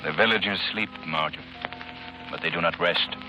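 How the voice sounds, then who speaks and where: An actor playing a resolute, grave avenger with an authoritative, exhorting manner in 1930s radio drama style. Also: male voice, deep voice, fairly quick, music in the background, lightly acted. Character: resolute, commanding crime-fighting avenger, grave and urging. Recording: noisy, hissy 1930s radio recording.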